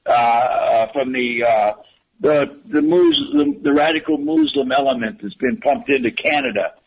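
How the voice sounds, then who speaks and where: A man's voice: mid-range voice, huge pitch swings, unhurried, creaky, lo-fi sound. An older retired man, a guest on a political talk radio show, speaking emphatically and repeating phrases for stress.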